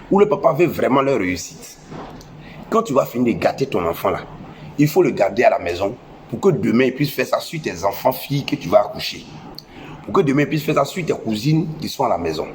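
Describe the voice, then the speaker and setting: A young man speaking French with a West African accent and a head cold delivers a preachy, scolding lecture to parents. He hammers his points by repeating phrases, at an ordinary male pitch. A faint hiss of noise sits under the voice. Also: very animated, brisk. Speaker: social media commentator. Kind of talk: impassioned moralizing monologue.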